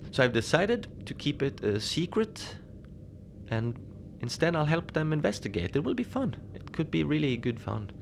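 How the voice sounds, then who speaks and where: A man playing a mild, unbothered middle-aged station manager in a cozy audio drama, confiding in a halting, rambling, understated way. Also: medium pitch, gravelly, slight background noise. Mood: musing, mild. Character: mild, unbothered lone Antarctic night-shift station manager. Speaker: podcast fiction narrator, lone facility manager on radio.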